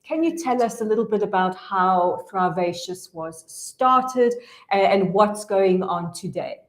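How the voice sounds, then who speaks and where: A woman's voice: mid-range voice, animated, a little slow. A middle-aged woman hosting a radio interview show from a studio, effusive and enthusiastic in introducing guests, on a studio microphone. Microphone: studio mic with headphones.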